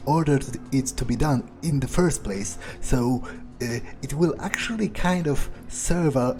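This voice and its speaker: A man roleplays a cynical, smug vampire muttering his thoughts with dry, halting sarcasm. His voice is of middle pitch, over clear game music. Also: warm tone, close to an everyday voice. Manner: halting, musing, sardonic. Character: smug cynical male vampire, talking to himself. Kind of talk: in-character gameplay roleplay, improvised muttering. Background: video game music.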